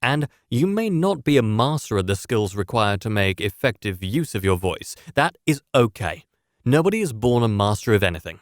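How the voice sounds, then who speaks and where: A man presenting a scripted public speaking lesson as a coach, speaking deliberately and clearly with emphasis on key words. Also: mid-range voice, no echo, clean studio sound. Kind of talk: scripted instructional narration.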